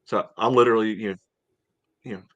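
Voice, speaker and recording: Male co-host, low register, dry close sound, loose stop-and-start soccer talk full of verbal filler. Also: fairly even intonation, a bit bright, no room sound, dull audio. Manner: halting, peppered with "you know". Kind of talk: casual match recap chat.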